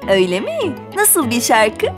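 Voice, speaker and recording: A woman's voice: a little high, wildly swooping pitch, measured pace. A woman voicing a kind, patient young preschool teacher in a children's cartoon, speaking in an instructive, encouraging way. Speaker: voice actor. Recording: loud music behind the voice. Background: music.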